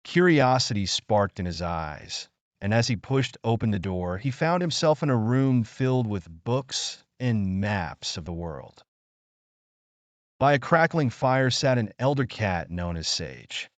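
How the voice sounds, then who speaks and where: A man reads a children's bedtime story in a low voice. His delivery is lively, with pitch that rises and falls a lot, and the recording is close and dry. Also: measured pace, no echo.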